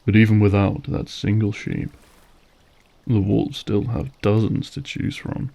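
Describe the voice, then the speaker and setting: Here is a suave vampire lord, acted by a man, with a dark, mellow voice that creaks at the edges. He toys with his captive in formal, mocking phrases, drawing out every threat with silky menace in a scripted audio drama. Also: lowish voice, unhurried. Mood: cold persuasion. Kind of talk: scripted audio roleplay acting.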